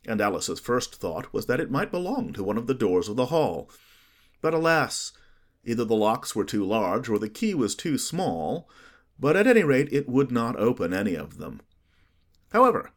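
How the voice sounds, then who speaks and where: Animated male storybook narrator. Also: mid-range voice. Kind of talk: scripted audiobook narration.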